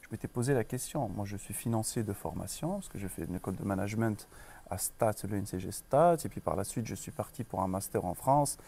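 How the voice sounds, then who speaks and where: Un homme d'âge moyen, originaire du Maroc, directeur d'école en entretien, parle de façon posée avec beaucoup d'hésitations. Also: male voice, fairly low pitch, animated, creaky, warm tone, husky. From Morocco. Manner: measured, many pauses and restarts. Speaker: school director. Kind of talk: interview.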